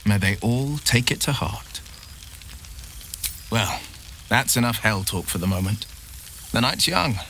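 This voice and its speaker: An actor playing a loyal, noble-minded adventurer with wry humour and an earnest storytelling manner, in a video game. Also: male voice, fairly low pitch, lively intonation, a little slow, pauses often, pushed and bright, slight background noise, heavily acted. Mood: playful. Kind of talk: video game dialogue acting.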